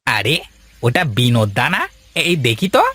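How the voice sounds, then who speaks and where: A man's voice: mid-range voice, sing-song, unhurried, pushed and bright, slight background noise. Chatty, nosy village fellow, acted by a man. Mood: breezy, curious. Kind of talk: Bengali cartoon story acting.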